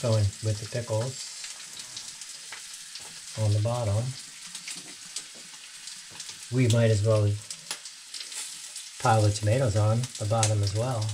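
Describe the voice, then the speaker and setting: A man's voice: fairly low pitch, level tone, unhurried, pauses often. A man in his sixties hosting a casual home cooking vlog, chatting and correcting himself as he narrates each step in a small kitchen. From United States. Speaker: home cooking show host. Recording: noisy home kitchen with frying sizzle. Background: frying and sizzling.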